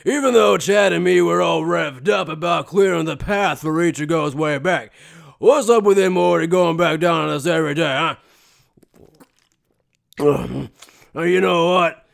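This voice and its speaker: Anime dub: whiny, rough-hewn drunken brawler, high voice full of heavy rasping fry, loud and slangy complaints. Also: male voice, measured pace. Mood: drunken griping. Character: drunken, whiny, hot-headed younger brother, rough fighter. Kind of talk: anime fan dub acting.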